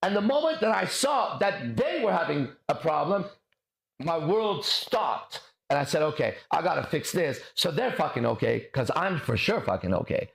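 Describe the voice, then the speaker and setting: A man's voice: on the high side, big pitch swings, moderate pace, vocal fry. A man giving a lecture to an audience, telling childhood stories in an intense, profane, storytelling manner. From grew up abroad, left home country at four. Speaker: motivational speaker. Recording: echoey room.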